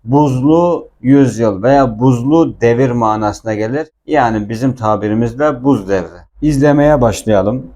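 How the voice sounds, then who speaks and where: Male language teacher, soft-edged mellow tone, informal explanatory delivery with patient repetition. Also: mid-range voice, measured pace, hardly any pauses.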